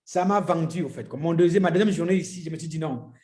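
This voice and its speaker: A man from Cameroon speaking on a panel in an upbeat, energetic way. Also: a little high, quick pace. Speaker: entrepreneur, investor. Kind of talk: panel talk. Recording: roomy echo.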